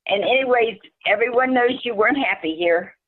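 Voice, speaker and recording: A woman's voice: fairly high pitch, brisk, narrow, tinny audio, lightly acted. A woman playing a greedy, officious property manager in an amateur radio play, smug and falsely sympathetic. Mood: mock-sympathetic.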